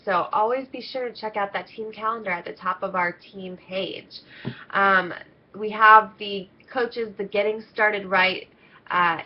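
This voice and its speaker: A woman coaching her team in a chatty, upbeat training talk, nasal and stuffed up with a cold. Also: medium pitch. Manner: upbeat, chatty, many ums. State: congested, coming down with a cold. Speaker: team coach leading an internship. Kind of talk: casual video training talk. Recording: slightly dull lo-fi audio.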